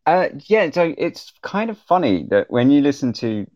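A man podcaster casually explaining on a panel, halting and often repeating words, in a roomy, dull recording. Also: on the high side, very animated, darkish tone. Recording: roomy, slightly dull lo-fi sound.

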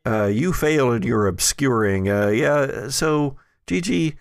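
Slow, gravelly male game master. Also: medium pitch, lively intonation, no room sound, clean studio sound. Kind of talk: narrating a tabletop roleplaying game, improvised.

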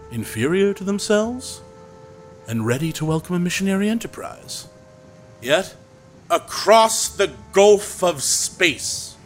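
Slow, theatrical young man. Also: a little high, fairly bright tone. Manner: measured, grand, deliberate delivery. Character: suave, theatrical young actor reading a novel aloud. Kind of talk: dramatic audio drama acting.

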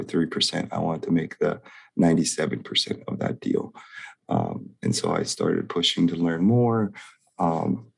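A man's voice: deep voice, vocal fry, darkish tone, husky. A middle-aged man from Hawaii, a real estate investor, talking in a laid-back, rambling way and laughing often over a video call. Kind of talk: casual interview talk.

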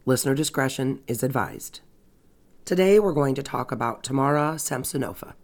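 A woman's voice: very deep voice, expressive, normal speed, a little mellow. Woman host with a stuffed-up nose and scratchy throat, dry jokes while telling a crime story. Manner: wry, sarcastic asides. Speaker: podcast host. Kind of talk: casual true crime narration.

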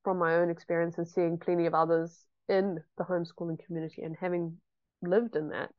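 A woman's voice: fairly low pitch, level tone, a little fast, no echo, muffled recording. A woman, a homeschooling mother chatting reflectively in an interview with frequent ums. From New Zealand. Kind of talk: casual interview chat.

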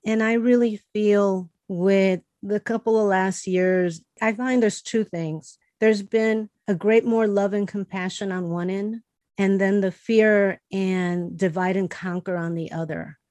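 A woman hosting a casual interview, talking calmly and agreeably with reflective remarks. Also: medium pitch, a little slow, no room sound. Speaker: host.

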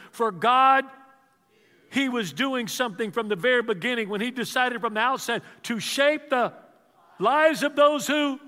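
A man preaching a sermon, telling the story with lively energy and quizzing the congregation. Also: very high-pitched, long reverb tail. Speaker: pastor.

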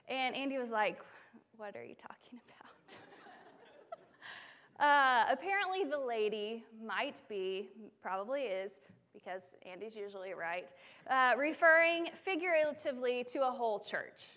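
A young woman preaching from a church stage, telling stories in an animated, self-deprecating way. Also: on the high side. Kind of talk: sermon. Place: church sanctuary.

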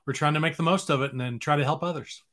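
A man's voice: fairly high pitch, fast, fairly bright tone. A man in his fifties from Texas, a former sportscaster speaking as a guest, easygoing and plainspoken. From Dallas area, Texas. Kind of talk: casual interview conversation.

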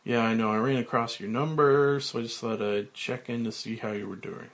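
A man's voice: mid-range voice, fairly even intonation, fairly quick, lightly acted. A man reading a brash, snappy TV boss. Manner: snappy, blustering. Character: brash, short-tempered TV producer man.

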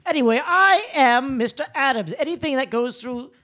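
A woman plays a self-important male scientist with a fairly high, very animated voice. He is protective, and the style is improvised radio comedy. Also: moderate pace.

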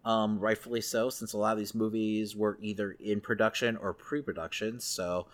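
A man's voice: fairly low pitch, flat delivery, moderate pace. A man co-hosting a podcast, chatting casually through entertainment news. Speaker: podcast co-host.

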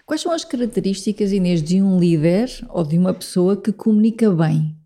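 A woman's voice: mid-range voice, expressive, brisk, warm tone. A woman with a European Portuguese accent asking questions conversationally as an interviewer.

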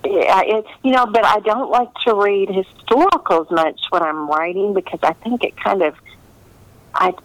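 A woman's voice: mid-range voice, normal speed, no echo, poor audio. A middle-aged American woman, a novelist, speaking earnestly and reflectively in a conversational interview. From United States. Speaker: historical romance novelist being interviewed.

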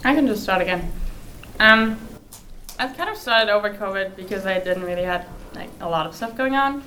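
A woman's voice: medium pitch, animated, normal speed, bright, forceful tone, slight background noise, poor audio. A sixteen-year-old girl from Germany, a native German speaker, answering casually as an exchange student.

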